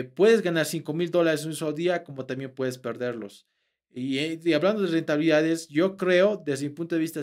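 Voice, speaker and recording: A young man, explaining trading to camera in a conversational way with frequent fillers and restarts, recorded in a small room. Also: a little high. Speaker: trading instructor.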